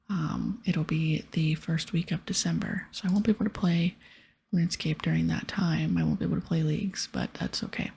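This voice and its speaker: A woman speaking in a soft, breathy whisper close to the mic, giving gentle, casual ASMR gameplay commentary. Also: fairly low pitch. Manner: gentle, hushed, casual rambling. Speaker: ASMR gaming video creator. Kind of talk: ASMR whispered gameplay commentary with quest dialogue read aloud.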